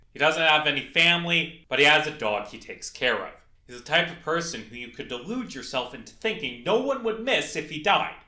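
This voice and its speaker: A man's voice: on the high side, bright, forceful tone, reverberant. A man narrating a scripted video essay about his own project, with emphatic, dramatic delivery. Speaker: indie game creator presenting a project.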